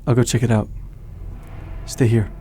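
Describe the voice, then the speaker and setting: A man's voice: mid-range voice, flat delivery, normal speed, noisy background, close to an everyday voice. An actor playing an easygoing, teasing, protective young man in a naturalistic audio drama. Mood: reassuring. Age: young adult.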